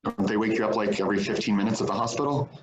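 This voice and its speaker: A loyal, protective friend played by a man in a naturalistic play reading. The voice sits very low, with a steady, reassuring manner that stays firm. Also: racing, natural voice. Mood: concerned.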